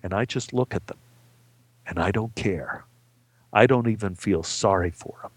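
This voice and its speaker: A man's voice: fairly low pitch, expressive, moderate pace, no echo, close to an everyday voice. A man voicing a boastful, menacing, thin-skinned gambler in a bar story, blunt and needling. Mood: cold bragging.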